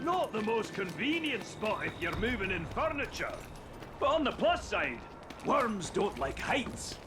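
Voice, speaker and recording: A joking dwarf tinkerer. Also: male voice, high voice, sing-song, measured pace. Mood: offhand. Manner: joking, teasing, offhand. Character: wisecracking male dwarf tinkerer and hunter. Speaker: inventor who helps the hero. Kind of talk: video game cutscene acting. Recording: cutscene with music behind.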